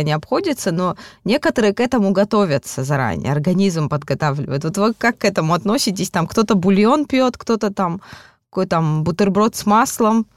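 A woman's voice: mid-range voice, dry recording, clean studio sound. A woman hosting a radio interview, asking questions with frequent hesitations and fillers. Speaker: radio host. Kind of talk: interviewing a guest.

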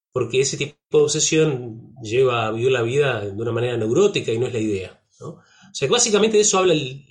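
A man from Uruguay with a Rioplatense Spanish accent, a musician talking about his album in a relaxed interview, reflective, with frequent fillers and tag questions. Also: a little high.

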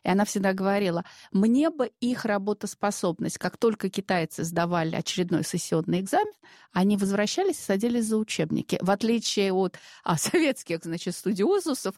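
A Russian woman of middle pitch who talks in a discursive, hesitant way and stops often for fillers. Her voice is recorded close up in a dry room with no echo. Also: expressive, a little fast, darkish tone. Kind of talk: casual studio discussion.

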